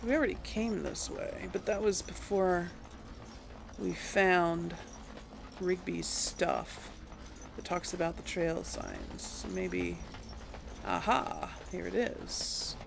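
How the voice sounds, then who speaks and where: A woman casually commenting on her gameplay, thinking aloud with hesitant pauses and small chuckles. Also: fairly low pitch, big pitch swings, creaky, noisy background. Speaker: let's play gamer.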